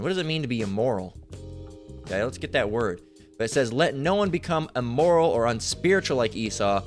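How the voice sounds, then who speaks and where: A man reading verses aloud and commenting on them in a loose, profane way. He trips over hard words and thinks out loud while he searches for things. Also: mid-range voice. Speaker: online religious commentator. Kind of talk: casual scripture reading and commentary.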